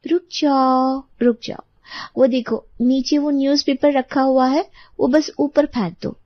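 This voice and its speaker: A woman's voice: high-pitched, steady tone, measured pace, no echo, muffled recording, pitched above the performer's own voice. A woman voicing a haughty, boastful rich neighbour in an audio storybook, with a condescending, showy manner. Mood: bossy, contemptuous.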